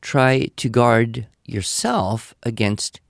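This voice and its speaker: Male podcast guest, mid-pitched, explanatory and emphatic, conversational advice delivery, very dry recording. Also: drawn-out words, gravelly, no echo. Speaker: podcast guest answering listener questions.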